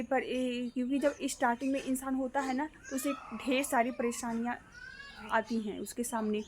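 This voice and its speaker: A woman's voice: high voice, darkish tone, a little hiss or hum. A young woman from Ambedkar Nagar, chatting casually to her vlog viewers outdoors by a guava tree, recorded on a phone and repeatedly addressing them as guys. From Ambedkar Nagar, Uttar Pradesh, India. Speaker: vlogger.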